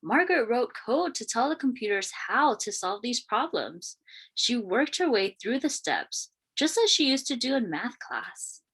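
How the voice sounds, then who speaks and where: A woman reading a children's picture book aloud in an expressive, deliberate storytelling manner. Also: a little high, breathy edge, poor audio. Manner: expressive, questioning lifts and deliberate phrasing. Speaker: read-aloud storyteller, teacher.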